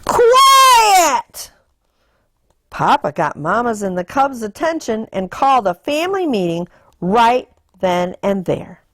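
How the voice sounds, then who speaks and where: A woman reading a children's picture book aloud in an animated, deliberate storytelling manner. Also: medium pitch, dry recording. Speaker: storytime reader.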